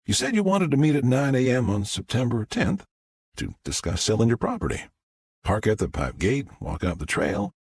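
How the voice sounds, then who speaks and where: A man voicing a confident, friendly businesswoman with a smooth Southern US accent in an audiobook. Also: medium pitch, sing-song, moderate pace, no echo, pitched above the performer's own voice, heavily acted. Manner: smooth, polite, forthright.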